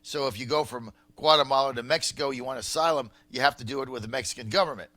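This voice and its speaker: An American man hosting political talk radio, with an incredulous, emphatic and halting way of talking. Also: mid-range voice, pushed and bright, no echo. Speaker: radio talk show host.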